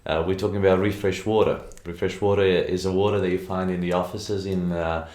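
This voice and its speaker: A man in his forties hosts a faith interview with a very low voice, friendly and prompting. He sits in a book-lined studio with a table mic, and the room adds a roomy echo. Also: steady tone, a little fast, a little mellow. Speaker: podcast host.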